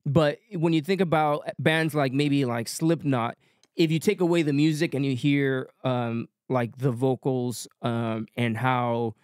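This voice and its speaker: A young man talking casually as a musician guest on a podcast, relaxed, with lots of fillers. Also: medium pitch, measured pace, dry recording, clean studio sound. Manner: relaxed, many fillers, light-voiced.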